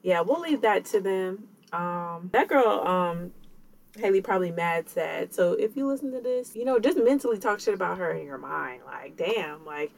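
A woman's voice: lowish voice, expressive, normal speed, vocal fry. A woman co-hosting a podcast, chatting loosely with slang and leading segments. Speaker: podcast co-host. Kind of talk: casual podcast chat.

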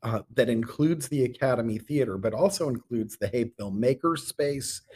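A middle-aged man talks as a guest in a conversational interview. His delivery is earnest and measured, with a medium pitch and deliberate pauses between phrases. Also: a little mellow, a little hiss or hum. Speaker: podcast guest, theatre artistic director.

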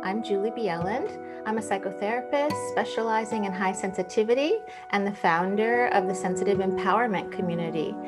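Woman podcast host doing intros, medium pitched voice, loud music under it. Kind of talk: scripted introduction and live event hosting.

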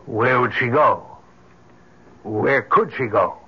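An actor playing an earnest, shaken minister in old-time radio drama, grave and dramatic, on a hissy old recording. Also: male voice, medium pitch, takes it slowly, lo-fi sound. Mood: concerned. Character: earnest, shaken minister, skeptical turning fearful.

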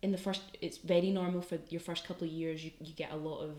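A woman's voice: fairly low pitch, steady tone, fast talker, a little mellow, echoey room. A young woman with a light Scottish accent, chatting as a student guest on a podcast, laughing often and using lots of fillers. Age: young adult. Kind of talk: casual podcast chat.

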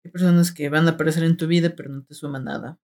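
A man's voice: on the high side, steady tone, very fast, a little mellow, slight background noise. Young man giving advice. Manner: addresses listeners directly, gives advice. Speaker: podcast co-host. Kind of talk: casual advice chat.

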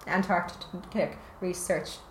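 A woman's voice: on the low side, fairly even intonation, slow. A woman from Canada, a researcher guest in a casual interview, speaking hesitantly with many fillers and frequent laughs. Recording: roomy with faint ambient noise.

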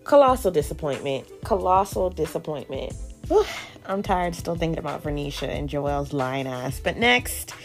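A woman with a low, gravelly voice recaps a dating show in a sassy, opinionated way. She leans on slang, quotes the cast and throws in quick asides. Soft music plays faintly underneath. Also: lively intonation. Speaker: podcast host recapping a reality show. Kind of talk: casual recap commentary.

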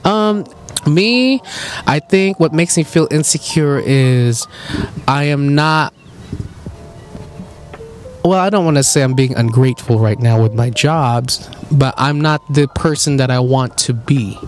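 A young man hosting a casual podcast, joking and laughing often, with a voice he calls high-pitched. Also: animated, a little slow, soft background music. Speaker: podcast host.

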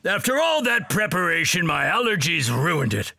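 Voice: medium pitch, big pitch swings, normal speed, gravelly, pushed and bright, exaggerated voice. A storyteller voicing an ancient male octopus in a children's story, eccentric and kindly, with a theatrical, politely fussy way of talking. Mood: flustered, disappointed. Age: old.

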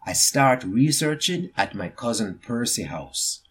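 A man's voice: medium pitch, very animated, a little hiss or hum. A man voicing an eager young immigrant boy who earnestly tells his own story in a picture book. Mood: eager, proud. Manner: earnest, deliberate, storytelling emphasis. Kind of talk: expressive picture-book reading.

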